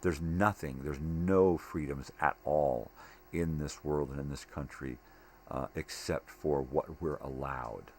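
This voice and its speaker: A man's voice: very deep voice, steady tone, takes it slowly. An older man rambling casually in a monologue, blunt, opinionated and given to swearing. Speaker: daily vlog host. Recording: clearly noisy home recording.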